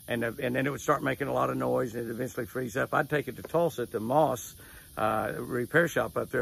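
A man's voice: mid-range voice, creaky, fairly bright tone, breathy edge. An older man, telling folksy stories outdoors and repeating key phrases as he gives a devotional and a fishing tip. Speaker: devotional host and angler. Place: lakeside lawn outdoors at sunrise.

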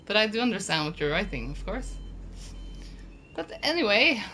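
A woman's voice: medium pitch, very animated, a little slow, background noise. A Norwegian woman with a Norwegian accent, chatting casually and swearing freely while she plays and answers her viewers. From Norway. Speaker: game streamer. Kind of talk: casual gaming commentary.

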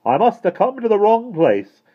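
Man voicing a loud, blustering, bewildered postman. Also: sits high, very animated, moderate pace, husky, dull audio, voice pushed up, exaggerated voice. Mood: baffled, indignant. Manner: blustering, exclamatory. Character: bewildered, indignant working postman, adult man. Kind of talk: children's storybook character voice.

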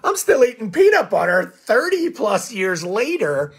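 A man in his forties with a raspy, husky voice, hoarse from a full day of coaching. He talks into a close phone mic in a small room, fired up and animated. His voice sits a touch high for a man. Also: measured pace. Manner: fired-up, animated, gestures a lot. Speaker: coach and show host. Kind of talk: energetic Facebook Live monologue. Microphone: phone close to the face.

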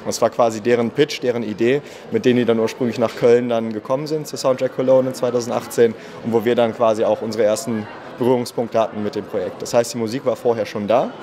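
A man's voice: medium pitch, fairly even intonation, fast, few pauses, dark tone. Chatty man, filler-heavy interview answers. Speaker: marketing manager and musician, festival guest. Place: indoor room at a festival. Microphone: handheld mic held by interviewer.

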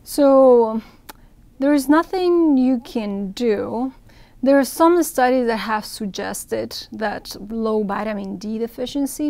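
Creaky-voiced woman from Ecuador explaining. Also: medium pitch, a little mellow. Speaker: interviewed surgeon. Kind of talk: explanatory medical interview. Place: indoor event room with banner backdrop.

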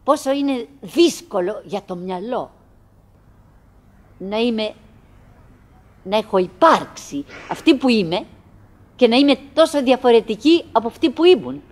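An actress playing a wistful, lonely, chattering wife in a theatrical radio play, musing in fragments and repeating herself. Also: female voice, on the high side, animated. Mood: musing, melancholy. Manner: fragmented, repetitive musing with pauses and self-address.

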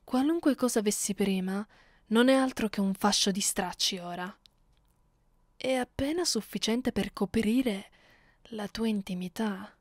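Woman acting slow, haughty vampire mistress. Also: medium pitch, dry recording, studio quality, lightly acted. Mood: haughty, bored. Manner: imperious, taunting, measured, at times whispered. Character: haughty, cruel, centuries-old female vampire mistress, bored and menacing. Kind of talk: ASMR roleplay.

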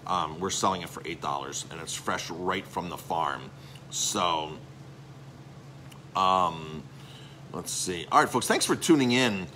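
A man's voice: sits low, animated, measured pace, long pauses, bright, forceful tone, a little hiss or hum. A middle-aged man, a chef and restaurant owner, giving a rambling, salesy live-stream update with lots of filler words.

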